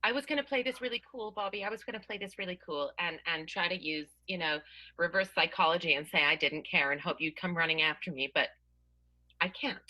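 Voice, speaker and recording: A woman's voice: lowish voice, brisk, sharp tone, low-quality recording. A woman playing a gushing, lovesick young woman. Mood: lovestruck. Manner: breathless, melodramatic. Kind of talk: improvised radio play.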